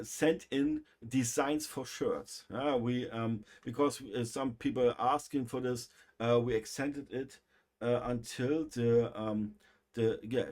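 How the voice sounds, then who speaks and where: A man with a German accent, a native German speaker, hosting a livestream and chatting casually, with a halting delivery full of pauses and fillers. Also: mid-range voice, a little mellow. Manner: halting, many fillers and restarts. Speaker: livestream host.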